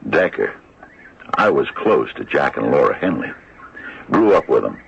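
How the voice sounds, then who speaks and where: An American man playing a gruff, weary, skeptical small-town sheriff, halting and sardonic, in a radio mystery drama. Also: big pitch swings, a bit bright, exaggerated voice. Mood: scornful, disbelieving. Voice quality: gravelly, husky, very deep. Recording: dull lo-fi old radio recording.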